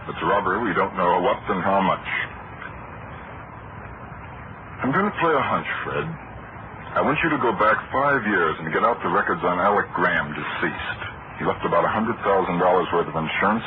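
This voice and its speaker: An actor playing a brisk, probing district attorney with a clipped, authoritative delivery in an old-time radio detective drama. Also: male voice, deep voice, animated. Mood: brisk, businesslike. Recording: noisy, tinny, phone-like old radio recording.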